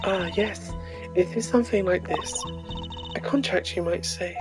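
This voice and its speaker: A woman's voice: fairly low pitch, measured pace, close to an everyday voice. Wistful woman singer for a game amateur female voice actor: composed, reflective, formal phrasing, music in the background. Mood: serene, gracious. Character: serene, wistful foreign woman singer, mysterious and gracious. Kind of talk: amateur video game character voice-over.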